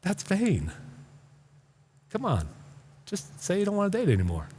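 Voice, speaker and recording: Man, pastor, lively swooping intonation, informal sermon, halting repetitions, long hall reverb. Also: high voice, husky. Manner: informal, halting, repeats words for emphasis. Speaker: pastor preaching. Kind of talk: conversational sermon to a congregation.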